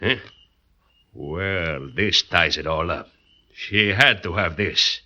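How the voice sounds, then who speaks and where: A man's voice: sits low, slow, bright, forceful tone, full character voice. An actor playing a tough, sardonic crime-story man, blunt and wisecracking, in 1940s radio noir style. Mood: wry. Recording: muffled old 1940s radio recording.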